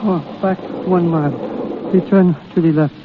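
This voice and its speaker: An actor playing a desperate, injured Italian soldier in an old radio drama, pleading in halting broken English with an Italian accent. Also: male voice, high voice, steady tone. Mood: pained. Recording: tinny, noisy old radio broadcast.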